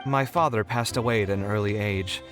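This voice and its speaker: A man's voice: lowish voice, brisk, close to an everyday voice. A man voicing a passionate young male architect in a video game, speaking expressively and with concern, over game music. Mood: thoughtful.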